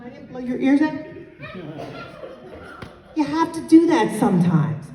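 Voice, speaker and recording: A middle-aged woman giving a lively motivational address at a conference, with a loud voice that she sometimes pushes to a yell. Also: high-pitched, measured pace. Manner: loud, animated, moves the mic away to yell. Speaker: conference speaker. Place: large hotel conference hall. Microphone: handheld mic, filmed from far off. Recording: echoey hall, recorded from far off.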